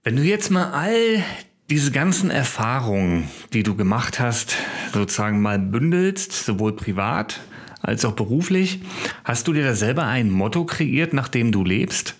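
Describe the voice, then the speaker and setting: Man, podcast host, middle pitch, casual interview style, informal, chatty. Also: sing-song, measured pace.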